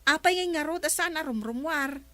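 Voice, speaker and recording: An actress with an Ilocano accent playing a teasing, prying, gossipy neighbor in a radio comedy drama. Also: female voice, sits high, normal speed, bright, forceful tone, a little hiss or hum.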